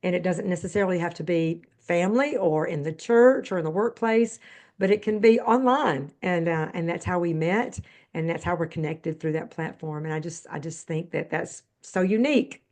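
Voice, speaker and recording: A woman's voice: fairly low pitch, normal speed, a little mellow. A woman hosting a faith-based podcast interview, chatty and a little rambling. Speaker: podcast host.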